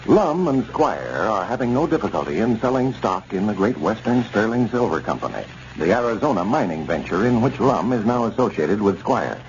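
Animated 1930s American radio announcer, man. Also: lowish voice, normal speed, hardly any pauses. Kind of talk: 1930s radio announcing, scripted sponsor narration. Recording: dull, noisy 1930s radio recording.

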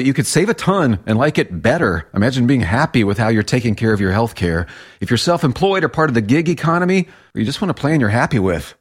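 Chatty man reading a sponsor ad. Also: mid-range voice. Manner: chatty, conversational. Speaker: radio host reading a sponsor ad. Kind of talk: casual host-read ad.

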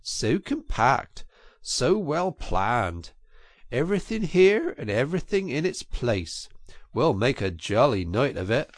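A man voicing a cheery storybook water rat, bossy and hearty, lively swinging pitch, gravelly edge. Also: no echo, studio quality. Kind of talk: storybook reading.

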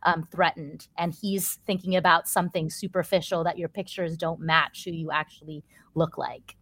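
A woman's voice: sits low, fairly even intonation, normal speed, a bit bright, no room sound. An articulate, assertive woman speaking earnestly in a panel discussion.

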